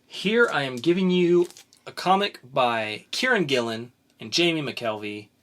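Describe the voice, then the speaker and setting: Bright-voiced teasing man podcaster. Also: medium pitch, lively intonation, measured pace. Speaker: podcast host. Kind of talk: casual podcast chat.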